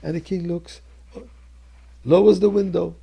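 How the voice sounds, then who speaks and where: A male rabbi delivers a storytelling sermon in a very raspy, heavily creaking voice that sits a little high for a man. His delivery is theatrical and emphatic, moving between hushed near-whispers and forceful declamation. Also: fairly even intonation, unhurried. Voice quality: husky, gravelly.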